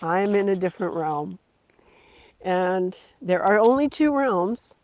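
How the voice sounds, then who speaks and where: A preacher giving a slow, earnest devotional sermon, repeating phrases for emphasis. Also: mid-range voice, expressive, a little mellow. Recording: dull lo-fi recording.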